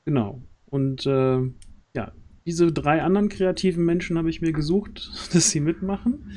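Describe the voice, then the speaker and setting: A man's voice: on the high side, normal speed, darkish tone, a little hiss or hum. A man, around his thirties, hosting a livestream in a casual, hesitant way, searching for words, into a close desk microphone. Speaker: stream host. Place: indoors, at home on a group video call. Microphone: close desk microphone on boom arm.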